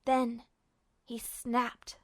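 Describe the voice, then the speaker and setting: A woman reading fan fiction aloud as scripted narration, with dramatic, expressive storytelling. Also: a little high, drawn-out words. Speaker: amateur audiobook narrator.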